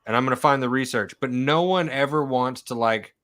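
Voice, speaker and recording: Bright-voiced man, slight Southern accent. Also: mid-range voice, brisk. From Oklahoma. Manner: storytelling, animated in quoted lines. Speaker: historian researcher. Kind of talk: casual conversation.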